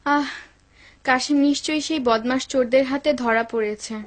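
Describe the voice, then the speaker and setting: A woman's voice: high voice, steady tone, normal speed. A woman playing a worried, devout mother in a dubbed cartoon, anxious and pleading.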